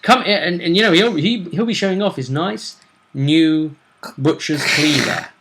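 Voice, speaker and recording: A man's voice: on the high side, normal speed, a bit bright. A man hosting a tabletop role-play show, casually talking through match-ups and often rephrasing as he explains.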